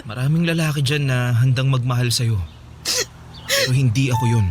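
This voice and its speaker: A man's voice: medium pitch, steady tone, normal speed, heavy background noise, natural voice. A Filipino actor playing an earnest young man, firm but apologetic, in a radio drama. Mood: sad, apologetic.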